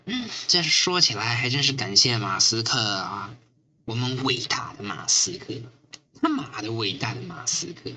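A woman's voice: rumbling low, animated, moderate pace, sharp tone, full character voice. A woman playing a sardonic, weary, foul-mouthed smoker on a riot squad in an amateur radio drama, with a teasing, griping manner. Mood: bitter.

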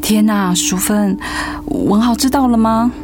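A woman's voice: mid-range voice, unhurried, lightly acted. Caring woman friend played by an actress, music behind. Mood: worried, reassuring. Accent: Taiwanese Mandarin. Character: caring, concerned woman friend, gently admonishing. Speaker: voice actor in a radio drama. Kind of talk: dramatic radio play acting.

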